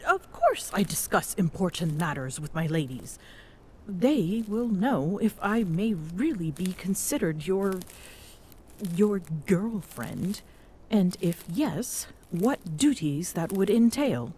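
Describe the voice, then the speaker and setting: Woman as imperious yet tender warrior queen for ASMR roleplay: very unhurried, lowish, breathy, halting and regal. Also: sing-song, a little mellow, a little hiss or hum. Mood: composed, bemused. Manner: halting, hesitant, formal regal phrasing. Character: tall proud Amazonian queen, smitten, flustered, imperious yet tender. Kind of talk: intimate ASMR audio roleplay.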